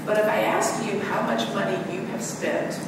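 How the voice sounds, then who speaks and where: A woman's voice: medium pitch, flat delivery, moderate pace, vocal fry. A middle-aged woman, a bank executive as guest speaker, giving direct, earnest advice and answering audience questions. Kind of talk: fireside chat talk and Q&A answers. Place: event hall with audience. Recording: echoey hall from far off, background noise.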